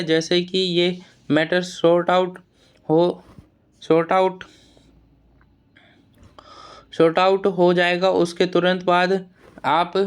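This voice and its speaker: A man's voice: high voice, smooth. A man giving an informal, explanatory update to students in a plain-spoken, admonishing way, often repeating words and phrases. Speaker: exam news vlogger advising job aspirants.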